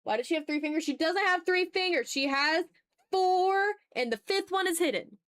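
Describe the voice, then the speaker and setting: A woman's voice: very high voice, expressive, moderate pace, a bit bright, dry recording, studio quality. A woman chatting casually as she draws on a livestream, teasing her viewers with playful mock exasperation. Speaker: art streamer. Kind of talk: casual livestream chat while drawing.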